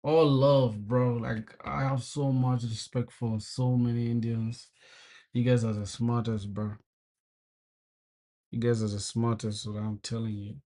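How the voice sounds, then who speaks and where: A man's voice: mid-range voice, little pitch movement, unhurried, warm tone. A young man from South Sudan livestreaming his coding, chatting casually with viewers, swearing and venting frustration, calling everyone bro. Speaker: programming livestreamer. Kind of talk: casual live coding stream.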